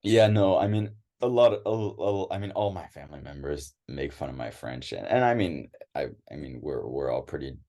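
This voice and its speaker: A man's voice: a bass voice, expressive, moderate pace, hardly any pauses, mellow. A young American man chatting as an interview guest, hesitant, with many restarts and fillers. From United States. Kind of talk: casual interview chat.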